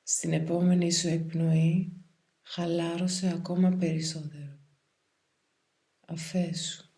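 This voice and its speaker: A woman guides a meditation in a calm, soothing, measured way, leaving pauses between phrases. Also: lowish voice. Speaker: yoga nidra meditation guide.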